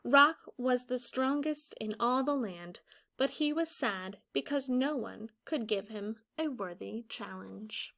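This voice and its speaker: A woman in her thirties, a teacher explaining a children's story with deliberate enunciation. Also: fairly high pitch, creaky, fairly bright tone. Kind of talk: instructional children's read-aloud. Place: small room indoors, classroom or office decor.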